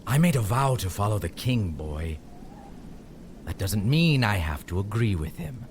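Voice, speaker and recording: Gruff, scornful low-voiced knight. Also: male voice, big pitch swings, unhurried, very noisy. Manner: curt, disdainful. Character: gruff, scornful seasoned knight, resentful of young newcomers. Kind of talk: audio drama acting.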